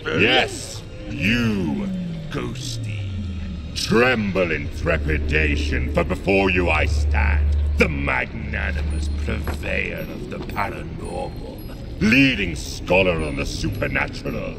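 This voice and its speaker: A pompous gravelly ghost scholar. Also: male voice, medium pitch, wildly swooping pitch, takes it slowly, music in the background, heavily acted. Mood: grandiose. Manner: grandiose, theatrical proclamations. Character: pompous ghost of an old male occult scholar.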